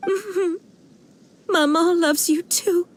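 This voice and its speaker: A woman playing a gentle, sorrowful and loving mother in a fantasy video game, speaking softly and tenderly. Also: extremely high pitch, fairly even intonation. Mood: tender, apologetic. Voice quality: soft, tender. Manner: slow, halting, tender.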